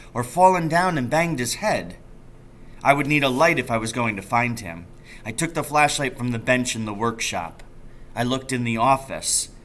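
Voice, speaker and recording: Male, middle-aged, forceful bright voice, expressive storybook read-aloud, teacher at a desk. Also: medium pitch. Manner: expressive reading, calls out the dialogue. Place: small office or classroom indoors.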